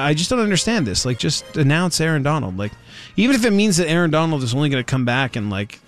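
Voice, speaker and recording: Sports radio host type, man, sarcastic and rambling, faint music underneath. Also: medium pitch. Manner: rambling, sarcastic, many fillers. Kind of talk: casual sports talk radio.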